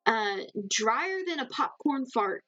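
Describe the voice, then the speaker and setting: Woman streamer, mild Southern accent, rambly and giggly, a bit high-pitched, dry sound. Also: animated, slow, sharp tone, no echo, lo-fi sound, soft background music. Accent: mild Southern American. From Southern United States. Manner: rambling and chatty, laughs often. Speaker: livestream host. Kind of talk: casual chat with a live audience.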